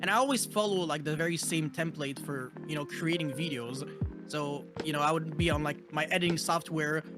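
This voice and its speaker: A high-pitched man from Montreal who grew up speaking French, with a Quebec accent running through his English. He talks loosely as an interview guest, stringing thoughts together with "like" and "you know", while clear music plays underneath. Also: brisk. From Montreal, Canada. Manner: loose, filler-heavy with frequent "like" and "you know". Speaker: guest content creator. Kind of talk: casual interview chat.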